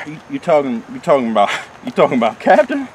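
A man's voice: mid-range voice, wildly swooping pitch, brisk, darkish tone, slight background noise. A man playing an agitated former henchman in an amateur comedy drama, stammering and flustered. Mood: flustered, excitable.

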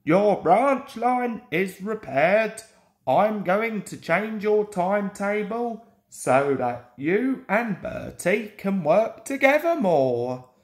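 An authoritative railway boss from a storybook , acted out by a woman. The voice announces firmly and slowly, with lively movement in pitch.

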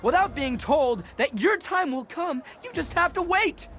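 Brash, high-voiced young gang boss. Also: male voice, lively intonation, measured pace, fairly bright tone, exaggerated voice. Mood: rousing, defiant. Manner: emphatic, swearing, swaggering. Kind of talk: video game action dialogue and rousing speech.

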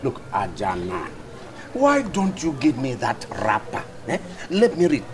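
A man's voice: medium pitch, wildly swooping pitch, unhurried. An actor playing a husband pleading with his wife. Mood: coaxing. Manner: coaxing, pleading. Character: pleading husband, middle-aged. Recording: crowd noise behind.

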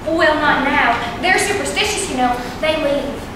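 A woman's voice: high voice, lively intonation, measured pace. A young woman acting a timid, dutiful, proper wife of the early 1900s, hesitant and earnest, in an amateur stage play. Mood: polite, hesitant. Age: young adult. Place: small theatre stage, filmed from audience. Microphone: distant camera. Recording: very echoey, noisy, distant stage recording.

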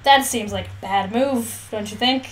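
A woman's voice: fairly high pitch, measured pace, a little hiss or hum. A young woman co-hosting a podcast in casual chat, joking wryly and swearing freely. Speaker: podcast co-host.

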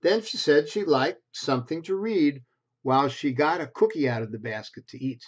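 A man's voice: on the high side, moderate pace. A man in his sixties reading a picture book aloud to preschoolers, expressively and in simple phrases with little asides. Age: 60s. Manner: expressive, simple phrasing aimed at young children. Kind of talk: read-aloud children's storytelling with asides. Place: small bedroom at home, indoors. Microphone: computer webcam at desk distance. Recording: dull lo-fi webcam sound.

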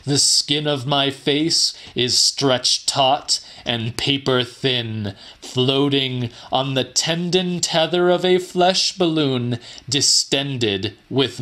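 A man's voice: mid-range voice, pushed and bright. A man voicing a gloating, grandiose supernatural killer in a pompous, theatrical manner for a horror audiobook. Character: gloating, grandiose male supernatural killer addressing his audience.

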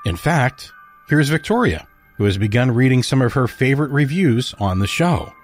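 Male podcast host for a scripted show intro, mid-pitched, over music. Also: lively intonation, normal speed. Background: music under the voice.

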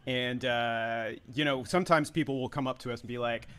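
A man's voice: mid-range voice, a little fast, a bit bright, smooth. Easygoing man in casual conversation. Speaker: writer and co-host of a live table read. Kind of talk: casual, conversational group chat. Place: indoors on a video call.

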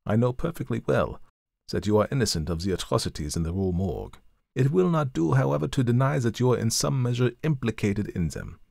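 A man voicing a genial, sharp-witted gentleman detective who speaks in a measured, courteous way. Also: mid-range voice, lively intonation, vocal fry, no room sound, studio quality, pitched above the performer's own voice. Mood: calm, courteous.

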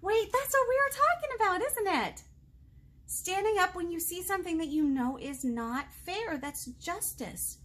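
High-voiced woman reading slowly to children. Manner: expressive, child-directed, asks questions and pauses. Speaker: children's librarian, storytime host. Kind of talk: reading a picture book aloud to young children, with asides. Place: indoor room, seated.

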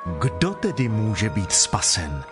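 A man's voice: fairly low pitch, sing-song. A man playing an earnest disciple addressing his master in a dramatized scripture dialogue. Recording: music behind the voice.

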